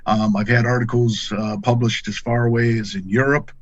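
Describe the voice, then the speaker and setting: A man's voice: mid-range voice, level tone, normal speed, dry recording. Middle-aged man, slightly dull dry recording. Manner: folksy, plain-spoken. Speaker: podcast guest, angler and fishing pro staffer. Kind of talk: casual conversational storytelling.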